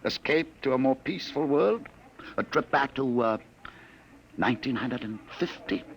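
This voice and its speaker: An actor playing a sinister male searcher from the future in a 1950s radio drama, taunting his targets with smooth, insinuating salesman patter. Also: mid-range voice, animated, normal speed, close to an everyday voice. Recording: old radio broadcast with faint hiss.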